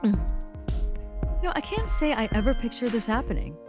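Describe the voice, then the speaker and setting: Comedy audio drama: sardonic young female rebel, lively intonation, music clearly behind. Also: a little high, a little fast. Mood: wry. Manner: sarcastic, flippant.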